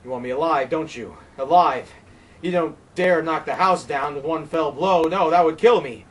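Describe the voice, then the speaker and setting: A man's voice: normal speed, distant mic, natural voice. A man voicing a frantic, terrified man who rages and pleads against the wind. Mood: feverish. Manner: shrill, shouting, panicked.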